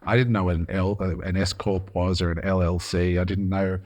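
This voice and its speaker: A man's voice: sits low, steady tone, a little fast, darkish tone. An Australian man hosting an interview podcast, enthusiastic and affirming, a bit sniffly from hay fever. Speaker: podcast host. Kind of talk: interview conversation.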